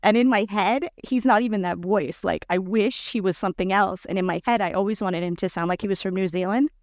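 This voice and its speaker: A woman in her forties with a squeaky voice, chatting casually as a podcast guest and leaping up in pitch when quoting herself. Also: fairly quick, no room sound, studio quality. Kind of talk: casual chat.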